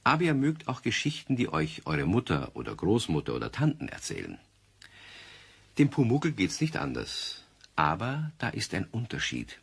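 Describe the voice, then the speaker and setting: A man narrating a children's radio play as a storyteller, talking conversationally to young listeners with gentle, wry amusement. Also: on the low side, wildly swooping pitch, normal speed, vocal fry, slightly rough, heavily acted. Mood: wry, friendly.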